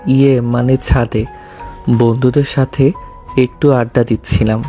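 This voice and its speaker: A man playing a tender, devoted and sheepish young groom in an audio love story, with a mellow voice. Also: mid-range voice, little pitch movement, unhurried, faint music behind, natural voice. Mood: sheepish, apologetic.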